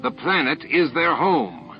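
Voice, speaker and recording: A man voicing a gruff, indignant alien officer in an audiobook, snapping and growling. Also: a little high, very animated, slow pace, lo-fi sound, soft background music, voice pushed up. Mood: angry.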